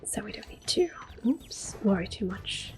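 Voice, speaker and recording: Female ASMR gamer, breathy whisper, gentle and reassuring, close-miked, talking through gameplay over soft music. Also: mid-range voice, lively intonation, a little slow. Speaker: ASMR gaming host.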